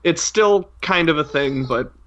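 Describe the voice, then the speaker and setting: A man's voice: on the high side, animated, measured pace, noisy background. A man co-hosting a casual podcast, chatting over dull online-call audio. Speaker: podcast co-host.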